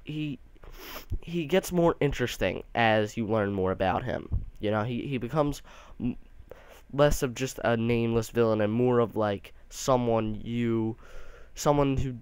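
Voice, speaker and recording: A man's voice: medium pitch, measured pace, darkish tone, clear, resonant tone, dry recording. A young man giving a casual, rambling movie review, sounding a bit nasal from allergies, with many restarts.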